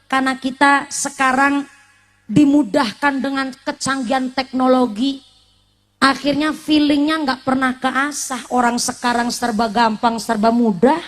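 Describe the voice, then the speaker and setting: Woman preacher, late 20s, high voice, playful and questioning, sermon to a live crowd. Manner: lively, teasing, asks the audience questions, mixes in Javanese and Sundanese words. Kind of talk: humorous Islamic sermon to a live congregation.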